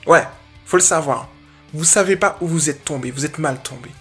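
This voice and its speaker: A man's voice: a little high, moderate pace, breathy edge. Man, podcast host, dog trainer, casual, improvised, playful, slangy, English interjections, faint guitar music behind. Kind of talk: casual improvised podcast, chatty advice to a listener.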